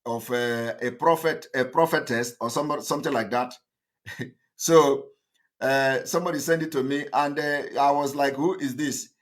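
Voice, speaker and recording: A man's voice: medium pitch, a bit bright. A man with a Nigerian accent giving an impassioned political monologue, emphatic and defiant, often repeating his phrases. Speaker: political activist broadcaster.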